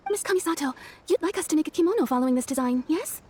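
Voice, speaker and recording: A woman's voice: sits high, animated, racing, mellow, slight background noise. A woman playing a courteous, businesslike kimono shopkeeper in an anime game, deferential and eager to please. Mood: polite, businesslike. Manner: deferential, customer-service politeness. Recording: faint background music.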